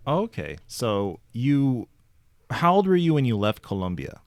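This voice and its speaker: A man's voice: mid-range voice, sing-song, unhurried. A man hosting a podcast, talking in a casual, conversational interview style. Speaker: podcast host interviewing a guest.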